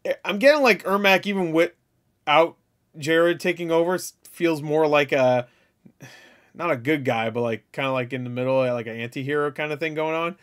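High-pitched, irreverent man reacting casually. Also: normal speed, a bit bright. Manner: irreverent, slangy, swearing. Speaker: reaction video host. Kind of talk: casual, joking reaction commentary.

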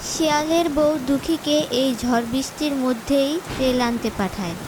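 High-pitched woman narrating, noisy recording. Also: moderate pace. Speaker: story narrator. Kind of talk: scripted cartoon story narration.

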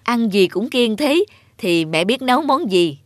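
A woman's voice: fairly high pitch, expressive, unhurried, dry recording, clean studio sound. A woman playing a caring, mildly exasperated middle-aged mother in a cartoon. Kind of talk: Vietnamese cartoon dialogue.

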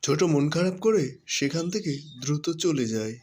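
A man's voice: a little high, lively intonation, fairly quick, darkish tone. A man narrating a scripted children's cartoon story.

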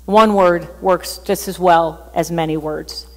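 A middle-aged woman preaching a sermon from a church stage, chatty and animated, sometimes laughing at herself. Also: fairly low pitch, slow pace, in a large hall. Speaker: pastor. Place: church stage with band gear.

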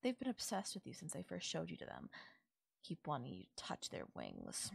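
A woman voicing a doting, possessive yandere fairy in an intimate audio roleplay, coaxing and softly teasing. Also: low voice, huge pitch swings, moderate pace, vocal fry, breathy edge, no room sound, studio quality, voice pushed down, heavily acted. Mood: affectionate, musing. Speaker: voice actor. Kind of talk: intimate audio roleplay, ASMR-style acting.

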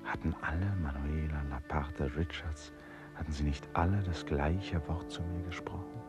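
A man's voice: fairly even intonation, moderate pace, over loud music. An actor playing an anxious, reflective traveller who recounts his jungle journey in a literary radio play. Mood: somber, reflective. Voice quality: very low-pitched.

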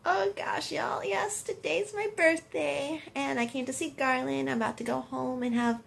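A woman's voice: high voice, fairly even intonation, normal speed, creaky. A woman of twenty-nine, chatting bubbly and giggly to viewers on a phone camera in a hospital room. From United States. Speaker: vlogger. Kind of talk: casual couple vlog chat. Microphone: phone camera at arm's length.

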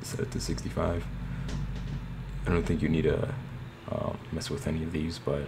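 A man's voice: extremely low pitch, level tone, moderate pace. A man narrating a casual screen tutorial in a plain, slightly hesitant way. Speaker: tutorial host. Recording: clear background noise.

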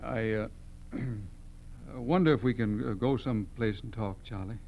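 An actor playing a nervous, reluctant garage owner mixed up in crime, hesitant and stammering, in a 1940s American radio drama. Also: male voice, on the low side, unhurried, creaky, darkish tone, a little hiss or hum. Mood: uneasy. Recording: dull, humming old radio recording.

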